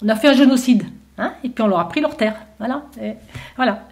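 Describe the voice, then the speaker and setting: A woman's voice: a little high, brisk, darkish tone, lightly acted. Smug American envoy, as a woman puts him on. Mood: lecturing. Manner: lecturing, self-assured, emphatic.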